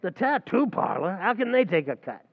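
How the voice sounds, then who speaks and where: An actor playing a scheming, wisecracking tavern manager in a 1940s-style radio comedy. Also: male voice, high-pitched, sing-song, moderate pace, muffled recording. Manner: wisecracking, mangles grammar. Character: fast-talking scheming male tavern manager.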